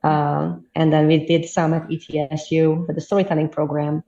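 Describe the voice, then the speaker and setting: A woman, a storyteller chatting in an interview, thoughtful and quick to laugh. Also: low voice, level tone, dark tone. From Hungary. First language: Hungarian. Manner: thoughtful, easy laughs, restarts mid-sentence. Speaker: storyteller guest. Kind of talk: casual interview chat. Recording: dull roomy sound.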